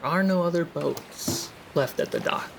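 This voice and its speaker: A man narrating as game master for a tabletop game, relaxed and conversational. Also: a little high, moderate pace, vocal fry, noisy background.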